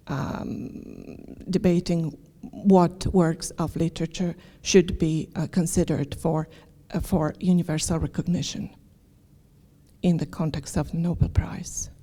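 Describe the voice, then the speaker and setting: Dark-voiced woman asking from the floor. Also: fairly low pitch. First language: Polish. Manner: careful, hesitant, many fillers. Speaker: audience member asking a question. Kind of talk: question from the floor. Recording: reverberant lecture hall.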